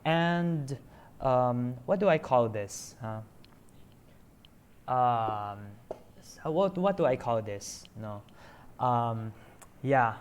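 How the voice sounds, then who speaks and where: A man's voice: medium pitch, expressive, very slow, long pauses, clean tone. A man streaming a story game, chatting casually and rambling with many hesitant fillers.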